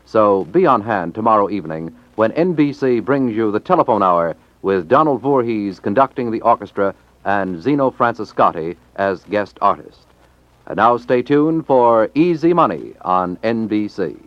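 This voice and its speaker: A man's voice: fairly low pitch, expressive, normal speed, no echo. An American man announcing from a script in a formal, polished 1950s radio style. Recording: muffled 1950s radio recording.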